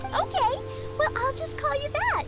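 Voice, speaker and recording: An excitable little girl from a video game, sweet and innocent. Her voice is very high and swings up and down with childlike eagerness. Game music plays behind her. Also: normal speed. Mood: eager. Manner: childlike, speaks of herself in third person.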